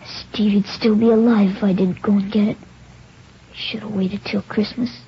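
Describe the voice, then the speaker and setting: A performer playing a guilt-stricken nine-year-old boy in an old radio drama, halting, breathy and pleading. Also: medium pitch, little pitch movement, lightly acted. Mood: tearful, pleading. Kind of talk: 1950s radio drama acting. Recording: dull, noisy old radio recording.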